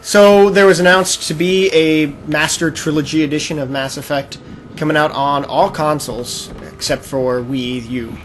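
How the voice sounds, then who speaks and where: A man's voice: a little high, steady tone, normal speed, slight background noise. A man co-hosting a casual podcast while playing a video game, often breaking off distractedly to react to what happens on screen. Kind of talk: casual chat over live gameplay. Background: video game sounds.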